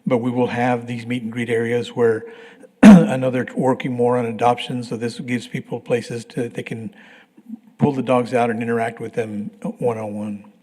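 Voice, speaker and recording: A man giving a construction update at a public meeting, hoarse and clearing his throat, talking in a plain, halting way with many restarts. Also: medium pitch, flat delivery, warm tone. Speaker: construction manager. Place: council meeting room. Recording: livestream from a reverberant meeting room.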